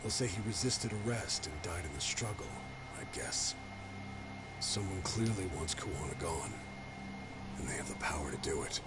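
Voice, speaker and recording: An actor playing a gruff, cynical, foul-mouthed police detective with a weary, blunt manner in video game dialogue. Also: male voice, sits low, expressive, normal speed, very noisy. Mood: grim, resigned.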